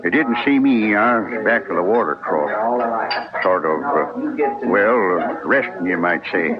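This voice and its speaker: A man's voice: lowish voice, lively intonation, unhurried, highs cut off, loud music behind the voice. A man playing a sheepish frontier town drunk in a 1950s radio western, rambling in hesitant, folksy rustic talk. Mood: sheepish, confiding.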